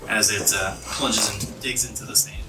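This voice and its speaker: A man's voice: fairly low pitch, steady tone, moderate pace, far from the mic. Roomy-sounding man narrating a fantasy game. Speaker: game master. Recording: roomy, off-mic, music underneath. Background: background music.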